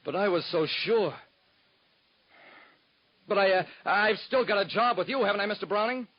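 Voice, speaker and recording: A man's voice: high-pitched, normal speed. An actor playing an earnest, nervous young man asking a detective for work, in 1940s radio acting style, on a dull lo-fi old radio recording. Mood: anxious. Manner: earnest, pleading. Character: eager young man job seeker, nervous. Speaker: secretary.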